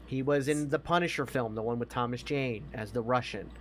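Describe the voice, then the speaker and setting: A man's voice: mid-range voice, a little fast, fairly bright tone, a little hiss or hum. A man chatting casually about movies as a podcast co-host, emphatic, opinionated and given to swearing.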